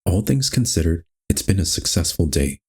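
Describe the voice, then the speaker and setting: A man's voice: sits low, big pitch swings, normal speed, slightly rough. A man reading scripted, storybook-style narration for a horror game.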